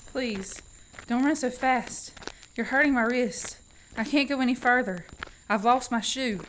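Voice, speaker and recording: A woman's voice: fairly high pitch, unhurried, a little hiss or hum, close to an everyday voice, rough recording. A young woman acting an indignant, reluctant captive companion in a student radio play. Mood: weary, pleading. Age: young adult. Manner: indignant, protesting.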